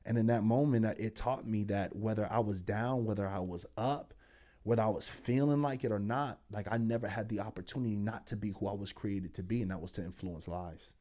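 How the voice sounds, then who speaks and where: A man in his twenties, a pastor and speaker, telling his story as a podcast guest in animated, slangy talk. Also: on the low side, brisk. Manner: animated, slangy storytelling that climbs loud when excited.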